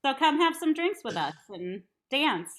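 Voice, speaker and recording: Bright-voiced woman chatting casually. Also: high voice, sing-song, a little slow. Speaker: guest, performer and voice teacher. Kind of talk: casual chat on a group video call. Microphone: video call.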